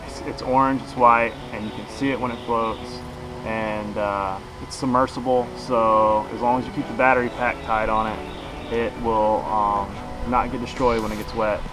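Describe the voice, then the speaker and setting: A man's voice: fairly low pitch, little pitch movement, moderate pace, background noise. A man in his thirties giving a casual, unscripted gear review to camera outdoors, in an informal, folksy way, a little way from the camera. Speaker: kayak fishing vlogger, product reviewer. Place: outdoors in a grassy backyard beside a shed. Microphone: camera a couple of metres away.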